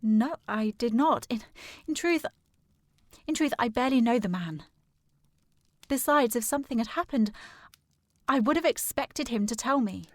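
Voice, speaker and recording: A woman playing a determined, formal young gentlewoman worried about her sister in a period audio drama. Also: fairly high pitch, lively intonation, normal speed, no room sound, studio quality. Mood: troubled, insistent. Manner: formal, precise, insistent.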